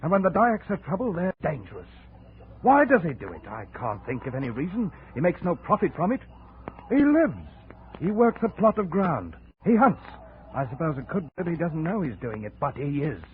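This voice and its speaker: An actor playing a big, grimly determined jungle trader with a brooding, menacing manner in a 1950s radio drama. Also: male voice, a little high, sing-song, normal speed. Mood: bitter, intense. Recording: narrow, noisy 1950s radio recording.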